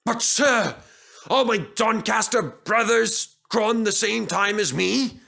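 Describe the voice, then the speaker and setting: A man's voice: sits high, slow, gravelly, pushed and bright, pitched above the performer's own voice, heavily acted. A man voicing a proud, gloomy male steam engine in a children's story, grumbling but deferential and easily stirred up. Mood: gloomy, anxious.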